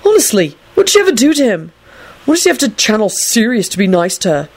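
Woman putting on an excitable, high-pitched, exasperated young man. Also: normal speed, no echo. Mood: gloomy, aggrieved. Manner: whiny, indignant, theatrical complaining.

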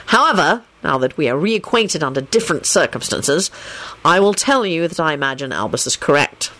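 A storybook reader voicing a stern, impassive male law-enforcement official with a clipped, formal, deadpan delivery. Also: medium pitch, a little fast, poor audio. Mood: stern, dry.

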